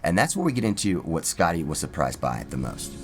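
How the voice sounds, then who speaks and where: Deep, animated male review host. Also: brisk, over music. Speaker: video host. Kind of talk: scripted product review narration to camera.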